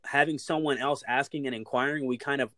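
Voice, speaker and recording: A man's voice: medium pitch, steady tone, brisk, pushed and bright, no echo, studio quality. A man chatting casually and rambling, filling his speech with "like" and "you know what I mean.". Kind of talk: casual conversation.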